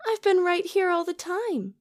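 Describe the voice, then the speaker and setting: A woman voicing a shape-shifting amoeba that poses as a wife, coaxing in a slow, sultry way with breathy whispers. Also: extremely high pitch, expressive, dry recording, clean studio sound, heavily acted. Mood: teasing. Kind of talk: old-time radio horror acting.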